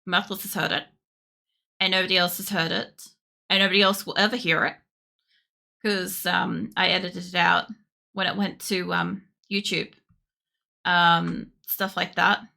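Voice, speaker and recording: A tired young woman streamer chatting casually while she draws, with a croaky voice and a rambling way of talking full of fillers. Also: fairly low pitch, flat delivery, a little slow, pauses often, sharp tone. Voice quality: croaky, gravelly. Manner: rambling, with many fillers and restarts. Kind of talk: casual chat during a drawing stream.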